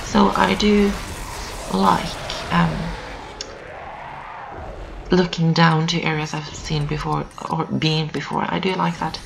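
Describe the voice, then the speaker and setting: Hoarse, gravelly-voiced young woman gamer. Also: sits low, level tone, takes it slowly, long pauses, low-quality recording. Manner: chatty, repeats herself, lots of "um" and "so". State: hoarse from just waking up. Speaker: gameplay commentator. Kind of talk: casual let's-play commentary. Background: builders' noise outside.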